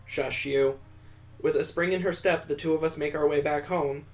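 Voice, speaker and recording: Male streamer, slightly high voice, reading story narration and adding casual commentary. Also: steady tone, a little fast. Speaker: streamer reading a visual novel aloud.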